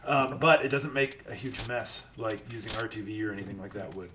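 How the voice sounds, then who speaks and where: A man's voice: lowish voice, fairly even intonation, fairly quick, sharp tone. A man giving a casual how-to while he works, thinking aloud with some hesitation. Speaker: car mechanic hobbyist, channel host. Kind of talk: casual hands-on how-to narration while working. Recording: noisy room, voice drifting off mic.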